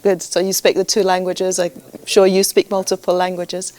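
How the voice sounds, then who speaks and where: A woman's voice: fairly low pitch, monotone, moderate pace, darkish tone. A woman coach hosting a round-table talk with students, asking questions with many small fillers. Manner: friendly, prompting questions with fillers. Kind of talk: moderating a round-table discussion.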